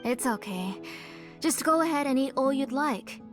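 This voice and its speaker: A woman voicing a gentle, caring mother who cooks for her guests, with game music behind. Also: sits high, lively intonation, moderate pace. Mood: welcoming. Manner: soft and welcoming.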